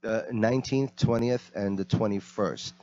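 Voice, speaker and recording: A man's voice: fairly low pitch, measured pace, darkish tone. A man from the Bronx, an actor guest talking casually and hesitantly, with many ums, into a handheld mic. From the Bronx, New York. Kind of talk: casual interview talk. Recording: dull lo-fi sound.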